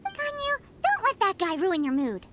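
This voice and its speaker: A woman voicing a small, cheeky, chirpy fairy companion with a squeaky childlike voice in an anime-style video game. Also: wildly swooping pitch, normal speed, background noise, full character voice. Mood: dismissive. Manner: perky, blunt, exclamatory.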